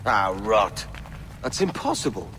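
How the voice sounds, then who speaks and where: An actor playing a gruff, hot-tempered, blunt pirate captain in a video game, with salty sailor talk. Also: male voice, on the low side, sing-song, a little slow. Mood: wary, skeptical. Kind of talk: dramatic video game acting. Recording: noisy outdoor ambience behind the voice.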